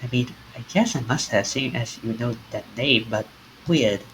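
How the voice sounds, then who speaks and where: A man's voice: medium pitch, normal speed, a bit bright, a little hiss or hum, close to an everyday voice, rough recording. Man playing a subdued, puzzled office worker. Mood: reflective. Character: groggy, puzzled male office worker, low-key. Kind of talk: amateur visual novel character reading.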